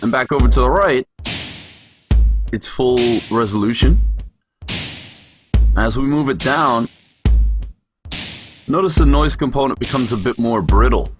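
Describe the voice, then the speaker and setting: A man's voice: mid-range voice, measured pace, pauses often. A man giving an explanatory voice-over tutorial as a music production instructor.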